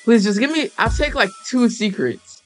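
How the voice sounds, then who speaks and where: A raspy young man who just woke up, hosting a casual gaming video and pleading with the game for better luck. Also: very high voice, normal speed, faint music behind, low-quality recording. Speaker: gaming channel host.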